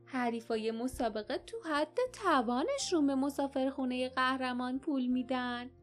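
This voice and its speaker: A woman's voice: high voice, soft background music, natural voice. A woman doing a bustling cheerful innkeeper lady. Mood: eager. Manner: loud, friendly, bustling. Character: cheerful plump middle-aged female innkeeper.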